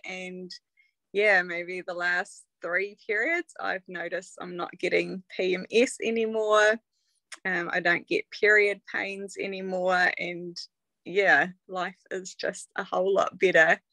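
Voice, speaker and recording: A woman's voice: lowish voice, sharp tone, no room sound. A woman, probably in her thirties, giving a casual, chatty testimonial full of ums and likes. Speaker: product testimonial speaker.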